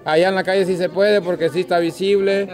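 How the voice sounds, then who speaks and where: A man's voice: high voice, fairly even intonation, fast talker, a little mellow. A Salvadoran man hosting an outdoor group game from behind the camera, casually calling out instructions and explaining the rules. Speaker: host filming and refereeing a group game. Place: outdoors under an open-sided park gazebo. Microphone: handheld camera, speaker behind it. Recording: outdoor group chatter around the voice.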